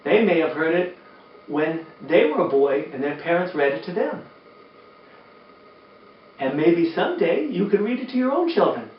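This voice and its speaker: An older man hosting a children's storytime, speaking expressively to young listeners with drawn-out emphasis. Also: a little high, big pitch swings. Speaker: children's storytime host and reader, former teacher. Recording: roomy, distant, dull sound with faint noise.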